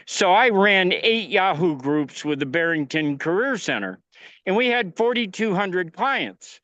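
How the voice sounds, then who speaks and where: A man's voice: sits high, a bit bright, clean tone, no echo, lo-fi sound. An American man co-hosting a podcast, telling anecdotes conversationally in a jokey, showman-like way. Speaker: podcast co-host.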